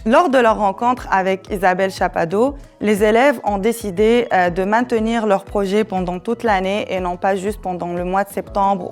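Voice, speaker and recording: A woman gives an explanatory presentation as an educator, measured and a little hesitant. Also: mid-range voice. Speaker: human rights education coordinator and presenter.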